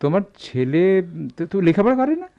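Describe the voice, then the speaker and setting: A mellow, shrewd gold merchant. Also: male voice, sits high, sing-song, fast talker, dull audio. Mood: patronising. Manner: hesitant hemming, calculating, offhand. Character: shrewd, tight-fisted male gold merchant, middle-aged boss. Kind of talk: radio drama acting.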